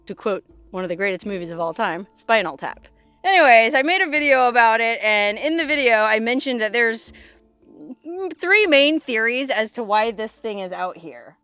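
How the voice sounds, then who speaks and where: A woman with a fairly high voice hosts a casual vlog outdoors, with some music behind her. She talks in a chatty, animated, slangy way, straight to the camera. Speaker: vlog host. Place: outdoors on a desert hilltop.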